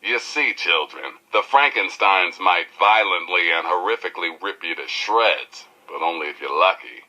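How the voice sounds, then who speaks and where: A man's voice: low-pitched, normal speed, hardly any pauses, sharp tone, exaggerated voice, rough recording. A man playing a brash, cheeky, streetwise rebel radio DJ with an American accent and theatrical patter. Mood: wry, mock-serious.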